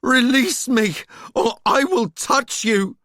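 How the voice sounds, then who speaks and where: A man voicing a fierce young woman who shouts commands and threats. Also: fairly high pitch, takes it slowly, no room sound, clean studio sound, voice pushed up. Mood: commanding shout.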